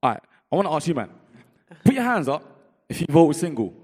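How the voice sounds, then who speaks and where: Loud fast-talking man. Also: fairly high pitch, very animated, breathy edge. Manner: loud, rapid, "you know what I'm trying to say". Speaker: debate participant. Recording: long reverb from the room.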